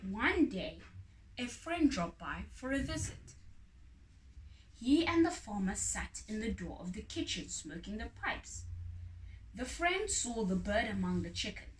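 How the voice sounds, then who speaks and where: A woman reading a children's story aloud in an expressive, dramatic way. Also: fairly high pitch, unhurried. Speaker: storybook reader. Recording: roomy echo, distant mic, faint noise.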